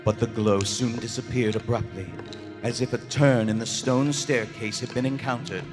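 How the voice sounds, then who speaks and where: Man playing nervous gentleman narrator in echoing theater, music. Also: medium pitch, fairly even intonation, normal speed, close to an everyday voice. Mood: haunted, solemn. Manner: formal, florid, dramatic delivery. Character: Randolph Carter, nervous, loyal gentleman narrator of a horror tale. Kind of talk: theatrical horror radio play performed live. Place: on stage in a large theater. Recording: live theater hall reverb, music behind.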